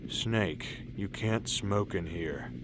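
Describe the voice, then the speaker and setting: Low-voiced stern older colonel. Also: male voice, takes it slowly, noisy background. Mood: firm. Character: Colonel, stern older male military commander. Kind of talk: radio drama acting.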